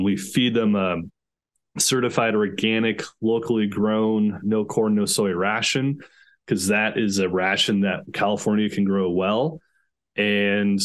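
A man in his thirties, an organic farmer, talking eagerly over a video call with many restarts. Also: on the low side. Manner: enthusiastic, rambling with many restarts. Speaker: organic chicken farmer, podcast guest. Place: small room indoors.

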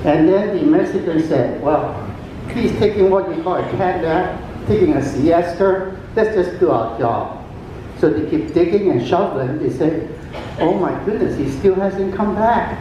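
An older man whose first language is Cantonese, telling jokes at a cruise ship talent show into a handheld microphone, with pauses and fillers. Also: expressive, background noise. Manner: chatty joke-telling with frequent pauses and fillers. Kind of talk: stand-up comedy. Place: large atrium on a cruise ship. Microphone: handheld microphone through a PA, filmed from afar. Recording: booming PA echo from a distant camera.

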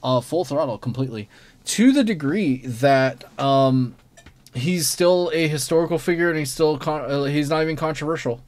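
Halting male podcast co-host, dry sound. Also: fairly high pitch, darkish tone, no room sound. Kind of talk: casual panel discussion. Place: online group voice call.

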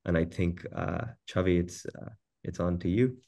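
A man's voice: low-pitched, moderate pace, creaky, warm tone. A man giving an informal, conversational webinar presentation over a video call, often repeating words as he goes. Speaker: company founder presenting product.

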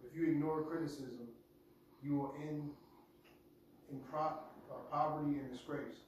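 A man's voice: on the high side, fairly even intonation, measured pace, dull audio. A middle-aged man leading a youth group, reading scripture and giving an informal Bible lesson. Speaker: group leader teaching youths. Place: large living room with stone fireplace, indoors. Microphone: phone camera a few metres away. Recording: echoey room, distant phone, background noise.

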